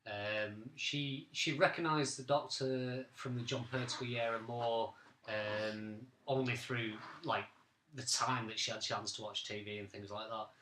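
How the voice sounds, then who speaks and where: Bright, echoey man around forty. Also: mid-range voice, steady tone, unhurried, a little hiss or hum. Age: late 30s to early 40s. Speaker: podcast co-host. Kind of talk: casual reminiscing chat. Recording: roomy, echoing amateur recording.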